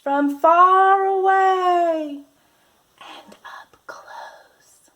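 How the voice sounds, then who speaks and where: A woman reading a picture book aloud to young children, with deliberate, gently instructive delivery and guided breathing prompts. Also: extremely high pitch, highs cut off. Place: at home.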